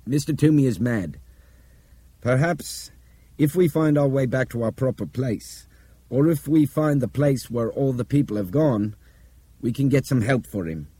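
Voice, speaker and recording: A man voicing an urbane, forceful Englishman in an audiobook story. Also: medium pitch, a little slow, slightly rough, dry recording, voice pushed up. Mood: firm, controlled. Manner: commanding, clipped urgency with polite turns of phrase.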